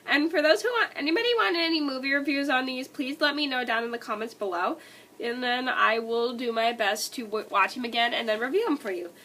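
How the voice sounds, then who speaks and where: Woman vlogger, sick and stuffy, bright higher voice, rambling casual chat full of restarts. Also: a little fast, a little hiss or hum. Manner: rambling, many likes and restarts. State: sick, stuffy nose and scratchy throat. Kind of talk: casual movie list vlog.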